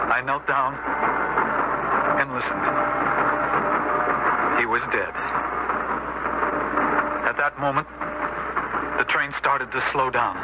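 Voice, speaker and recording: A man's voice: mid-range voice, lightly acted. An actor playing an upright, preachy man troubled by his crooked brother, in 1970s radio drama style. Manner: earnest, moralizing. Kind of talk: 1970s radio drama acting with first-person narration. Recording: noisy old 1970s radio broadcast.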